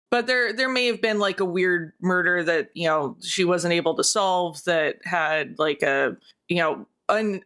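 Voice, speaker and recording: A woman's voice: on the low side, fairly bright tone. A woman speaking casually as a cast member on a podcast. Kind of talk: casual chat.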